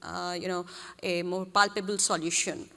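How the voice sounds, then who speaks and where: A woman moderates a panel, feeling her way through long questions with frequent ums. Her voice is bright and clear. A long reverb hangs in the conference hall. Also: on the low side, level tone, normal speed. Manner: searching phrasing with many ums. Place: large conference hall stage.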